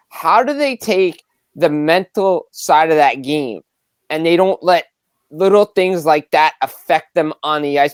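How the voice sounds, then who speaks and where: A man's voice: on the high side, smooth, no room sound, clean studio sound. A man co-hosting a sports podcast, talking casually as he builds up to questions for a guest. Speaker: podcast co-host.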